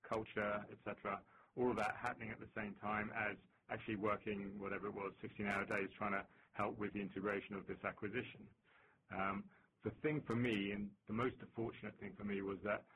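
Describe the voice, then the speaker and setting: A middle-aged man with a London accent, a finance chief answering interview questions in a measured way, with frequent ums. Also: on the low side, background noise. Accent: London English. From England. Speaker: chief financial officer guest.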